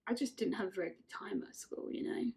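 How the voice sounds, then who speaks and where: Husky young woman chatting. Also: mid-range voice, quick pace, darkish tone. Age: 20s. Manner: chatty, rambling, self-correcting. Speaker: vlogger. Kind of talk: casual get-to-know-me chat.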